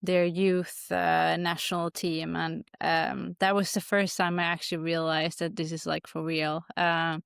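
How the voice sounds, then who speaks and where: A woman's voice: fairly low pitch, little pitch movement, measured pace, creaky, hardly any pauses, fairly bright tone, clean tone, no echo, clean studio sound. A woman from Denmark, a hockey player as a guest, answering interview questions thoughtfully with many restarts.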